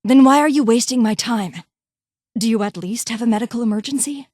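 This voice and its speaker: A woman's voice: fairly high pitch, fairly quick, darkish tone, dry recording, clean studio sound, lightly acted. A woman voicing a brusque, condescending vault doctor and scientist in a video game, with clipped, formal, haughty delivery. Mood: irritated. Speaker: voice actor in a game mod. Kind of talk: scripted game character dialogue.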